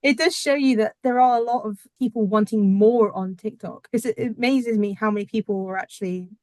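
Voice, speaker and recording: A woman's voice: on the high side, darkish tone, no echo. Chatty woman hosting, dry sound. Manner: chatty, enthusiastic, quick to laugh. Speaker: podcast host. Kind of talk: casual group interview.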